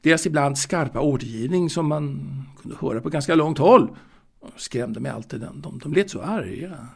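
An actor playing an old man wistfully reminiscing about his boyhood in a halting, musing audio drama monologue, with a gravelly voice. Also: a little high, expressive, heavily acted. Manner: halting, musing, with hesitations and repeated words.

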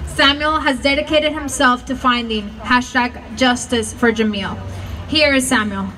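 A woman's voice: high-pitched, unhurried, crowd noise. A woman reading introductions of speakers at an outdoor rally. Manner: reads introductions from notes. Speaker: rally emcee. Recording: distant mic with street noise.

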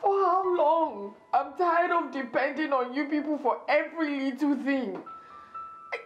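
A woman's voice: high voice, unhurried, dull audio, faint music behind. A woman playing a weary, despairing sick wife. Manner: weary, pleading, quiet. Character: bedridden, despairing wife who wants to die.

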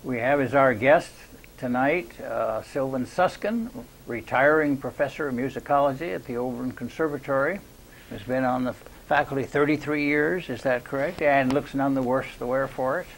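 An older man hosting a local TV interview in a courteous, halting manner. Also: medium pitch. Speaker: interview host. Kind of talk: local TV interview, unhurried introduction and questions. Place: small cable TV studio. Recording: noisy 2001 VHS studio recording.

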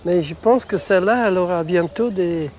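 A man's voice: high voice, normal speed, a little mellow. An older man from England, a native English speaker with an English accent, chatting reflectively and hesitantly as a documentary interviewee. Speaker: retired ornithologist.

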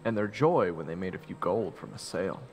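A young fantasy hero acted by a man tells his own story reflectively. His voice is fairly low and creaky yet varied in intonation, over clear music. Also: animated, fairly quick. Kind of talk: first-person storytelling narration.

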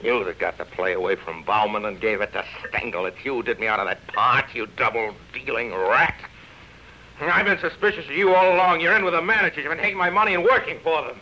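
A washed-up actor, proud, drunk and desperate. The voice is bright and forceful with grandiose theatrical delivery, on a muffled vintage radio drama recording. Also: male voice, medium pitch, brisk, slight background noise. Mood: bitter accusing. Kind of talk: 1930s radio drama acting.

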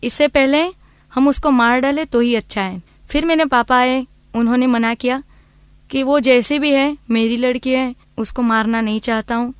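A woman's voice: high voice, fairly even intonation, moderate pace, smooth, no room sound, clean studio sound. A woman from Gujarat, telling a personal story as an interviewee. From Gujarat, India.

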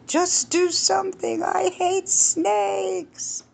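A woman voicing a panicky woman who is terrified of snakes and speaks in a shrill, frantic way. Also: level tone, drawn-out words, gravelly, darkish tone, full character voice.

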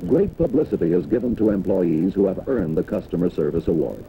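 An American man reading scripted narration for a 1960s corporate training film in a polished, measured announcer's delivery. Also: sits low, sing-song, slight background noise. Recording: dull, dated 1960s film soundtrack.

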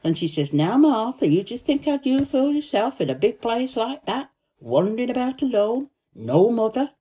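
A woman playing a cheerful, warm-hearted young housemaid who gossips eagerly in broad Yorkshire dialect, in a storybook reading. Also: fairly high pitch, big pitch swings, normal speed, husky, pitched above the performer's own voice. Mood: chatty, earnest.

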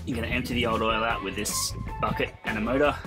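A young man narrates boat chores outdoors in an easygoing, joking way. His pitch sits mid-range. Loud music plays under his voice. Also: fast. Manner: relaxed, joking, with fillers. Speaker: sailing vlog co-host. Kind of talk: casual vlog narration.

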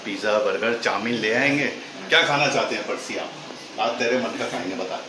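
A man's voice: lowish voice, a little fast. A man in his thirties chatting casually to viewers in a food vlog, filmed on a phone at arm's length in a car and at home. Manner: chatty, addresses viewers as guys, mixes English words. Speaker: vlogger. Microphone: phone camera at arm's length. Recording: noticeable background noise.

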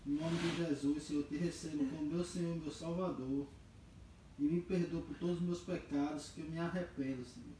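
A young man acting as a troubled husband hooked on the computer, praying in a low, pleading voice in a church skit. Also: steady tone, a little fast, warm tone, distant mic, a little hiss or hum, lightly acted. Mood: pleading prayer. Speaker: amateur actor in a church skit. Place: wood-panelled hall, indoors. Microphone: far camera. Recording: echoey hall, picked up from afar.

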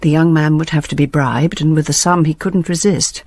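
An actress playing a bitter, grieving grandmother, delivering a deliberate confessional letter as a radio drama monologue. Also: sits low, steady tone, darkish tone, no room sound. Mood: cold resolve.

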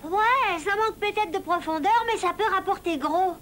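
A woman voicing a cheeky, squeaky little girl mouse in a cartoon dub. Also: moderate pace.

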